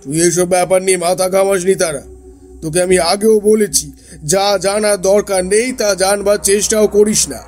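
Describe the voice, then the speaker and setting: High-pitched, agitated elder brother acted by a man. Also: normal speed, a little mellow, natural voice. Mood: angry, alarmed. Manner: agitated, scolding, insistent. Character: evasive, irritable elder brother hiding a fearful secret. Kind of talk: Bengali horror audio drama acting. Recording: music under the voice.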